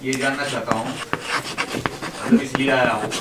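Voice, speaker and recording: A man's voice: mid-range voice, fairly even intonation, normal speed. A man from eastern India speaking spontaneously as a student in a group discussion. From Odisha, India. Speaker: workshop student. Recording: distant mic, clear background noise, clicks.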